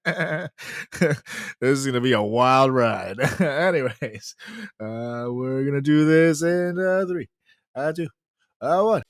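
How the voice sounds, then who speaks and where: A man's voice: medium pitch, animated, takes it slowly, no echo, studio quality. A man co-hosting a podcast in casual chat, joking and laughing often as he hypes the show. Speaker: podcast co-host.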